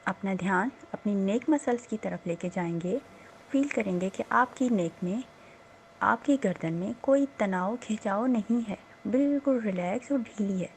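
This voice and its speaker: A woman meditation instructor leading a slow guided relaxation in a soothing, unhurried, gently instructing manner with pauses. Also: medium pitch, slight background noise.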